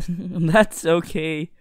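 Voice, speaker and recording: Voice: mid-range voice, steady tone, drawn-out words, no room sound, studio quality. A performer voicing a shy, earnest, lovestruck teenage boy hero in an anime roleplay, stammering tenderly. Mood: gentle, encouraging. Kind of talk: anime fan audio roleplay addressed to the listener.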